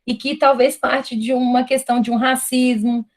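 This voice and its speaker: A woman's voice: high voice, flat delivery, moderate pace. A Brazilian woman giving an online university lecture, talking thoughtfully with frequent tag questions and restarts. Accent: Brazilian Portuguese. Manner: thoughtful, many "né?" tags and restarts. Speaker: guest lecturer.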